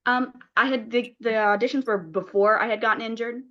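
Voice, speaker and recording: A woman's voice: a little high, steady tone, fairly quick, fairly bright tone. A woman in her twenties, an actor, chatting casually about personal experience. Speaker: actor taking part in a table read. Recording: dull, lo-fi call audio.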